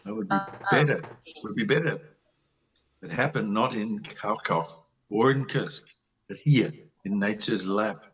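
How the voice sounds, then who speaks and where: Man acting husky, ardent country doctor, distant mic. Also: on the low side, lively intonation, a little slow, pauses often, fairly bright tone, soft background music, full character voice. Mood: pleading, intense. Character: disillusioned, lovelorn country doctor, ardent and cynical. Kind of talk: amateur dramatic play reading.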